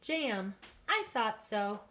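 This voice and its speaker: A woman voicing a kindly old grandmother in a children's story, fond and gently scolding. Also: mid-range voice, sing-song, extremely slow, full character voice. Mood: amused, fussing. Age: older. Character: kindly, hospitable old grandmother, chuckling and fussing. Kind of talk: children's storybook read-aloud.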